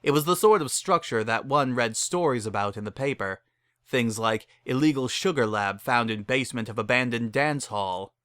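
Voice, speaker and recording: Bright, close narrator reading a story. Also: mid-range voice, lively intonation, normal speed, no room sound. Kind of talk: dramatic reading of fan fiction.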